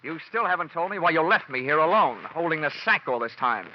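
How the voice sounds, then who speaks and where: An actor playing a cocky, hot-tempered American insurance investigator in 1940s radio detective style, brash, sardonic and hard-boiled. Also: male voice, on the high side, expressive, fairly quick, close to an everyday voice. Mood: angry. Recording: muffled, noisy vintage radio recording.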